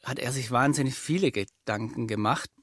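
A middle-aged man with a Swabian accent, a company founder telling his story in an interview, lively and anecdotal. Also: medium pitch, normal speed, dry recording, studio quality.

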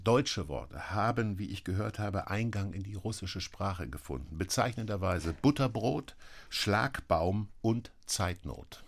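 A man hosting a radio interview, asking measured questions with occasional hesitations. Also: on the low side, expressive, vocal fry. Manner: measured, asks questions with fillers. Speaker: interview host.

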